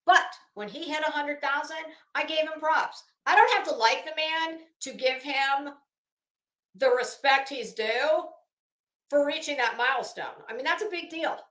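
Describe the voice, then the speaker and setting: Middle-aged female commentator, higher-pitched, deliberate and halting, casual live style, echoey room sound. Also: fairly bright tone. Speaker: YouTube commentator and host. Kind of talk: casual live commentary with document read-outs.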